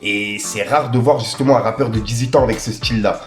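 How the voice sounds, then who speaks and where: Young male news host, low voice, chatty, echoey room with faint music. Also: fairly even intonation, fast talker. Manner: chatty, many fillers like "en gros" and "tu vois". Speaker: host of a rap news channel. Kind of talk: casual news roundup.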